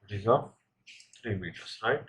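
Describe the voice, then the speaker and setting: A man narrating a step-by-step software tutorial as an instructor, in halting short phrases with frequent check-in tags. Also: on the low side, animated. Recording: clear background noise, keyboard clicks.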